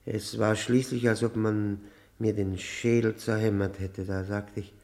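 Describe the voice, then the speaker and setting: An actor playing a broken, despairing long-term convict in a radio drama, speaking haltingly and repeating his words as he falters. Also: on the low side, flat delivery, darkish tone. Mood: weary, bitter.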